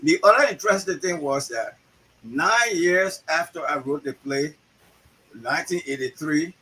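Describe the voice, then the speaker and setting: A man in his sixties with a Liberian accent, a playwright telling stories in an interview, animated and often repeating words. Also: fairly high pitch, moderate pace, breathy edge, low-quality recording. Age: 60s. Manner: animated, repeats words, acts out quoted voices. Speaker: guest, playwright. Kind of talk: storytelling interview talk.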